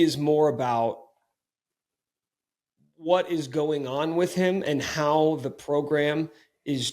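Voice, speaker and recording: A man hosting a casual sports podcast, talking in a playful, teasing, mock-bossy way. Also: on the high side. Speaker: podcast host.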